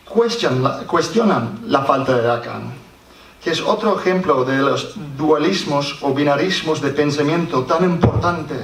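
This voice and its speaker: A man's voice: a little high, a bit bright. A man from Scotland with a Scottish accent, speaking Spanish as a second language, reads a prepared conference talk carefully and often restarts words. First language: English. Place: public hall. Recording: roomy hall, distant mic, faint noise.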